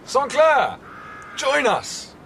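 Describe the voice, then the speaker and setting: An actor playing an eager, excitable young Frenchman who calls out in a radio drama. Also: extremely high pitch, very slow, gravelly, a little hiss or hum, heavily acted. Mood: excited, calling out.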